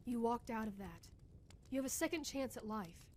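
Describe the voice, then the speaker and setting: A woman's voice: on the high side, expressive, normal speed, darkish tone, a little hiss or hum, close to an everyday voice. A woman playing a tough, determined, wry young soldier in a radio drama. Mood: sober.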